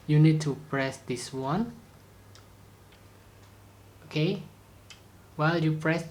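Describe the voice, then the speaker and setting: A tutorial presenter speaks slowly at a medium pitch, in short, halting phrases with non-native grammar. The delivery is a step-by-step product demonstration, with some steady noise behind the voice.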